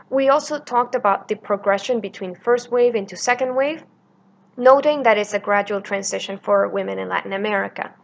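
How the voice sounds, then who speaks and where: A woman's voice: fairly high pitch, lo-fi sound. A woman in her thirties lectures from her office desk into a webcam. She works through her notes steadily, with the odd filler and self-correction. A faint hum sits under the voice. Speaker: history lecturer. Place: office by a window with city view.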